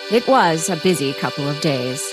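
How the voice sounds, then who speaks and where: A woman's voice: sits low, animated, moderate pace, music in the background. A woman narrating an audio drama. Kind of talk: scripted narration.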